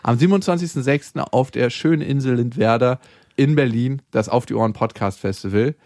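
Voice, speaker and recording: A man's voice: mid-range voice, brisk, darkish tone, no room sound, studio quality. A man co-hosting a podcast, reading listener mail and trading frank, joking banter. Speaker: podcast co-host.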